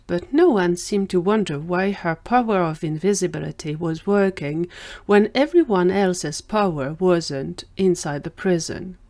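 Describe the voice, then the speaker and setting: A woman's voice: on the low side, darkish tone. A woman narrating a scripted fiction story for an audio drama.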